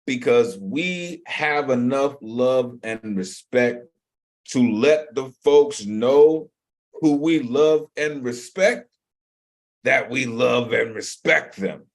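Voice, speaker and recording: A man hosting an online poetry open mic over a video call, moving between loud excited shouts and slow earnest praise for the poets. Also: mid-range voice.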